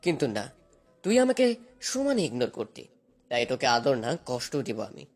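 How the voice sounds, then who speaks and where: A man's voice: mid-range voice, very animated, moderate pace. A man playing a cruel, obsessive young husband in a romantic audio drama, with a taunting, commanding manner. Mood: bitter, threatening.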